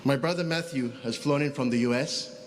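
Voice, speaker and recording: A middle-aged man giving a formal funeral speech, deliberate and pausing often. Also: medium pitch, a little hiss or hum. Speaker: son giving funeral tribute. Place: funeral service.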